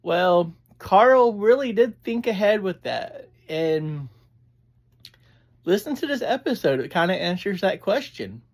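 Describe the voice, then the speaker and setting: A reviewer, chatting casually in a halting, digressive way as host of a review. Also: medium pitch, big pitch swings. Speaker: audio drama reviewer, host. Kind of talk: casual rambling review chat.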